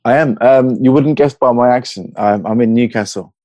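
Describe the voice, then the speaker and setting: A man's voice: medium pitch, moderate pace, dark tone, no room sound. A man with a Hertfordshire accent, a podcast guest chatting casually who rambles from one thought to the next and laughs easily. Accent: Hertfordshire English. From England. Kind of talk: casual interview over a call.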